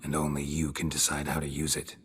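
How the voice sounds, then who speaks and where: An actor voicing a stoic, protective monster hunter in a fantasy video game, gruff, terse and dry. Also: male voice, very deep voice, monotone, a little fast, a bit bright, faint music behind. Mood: calm, reassuring.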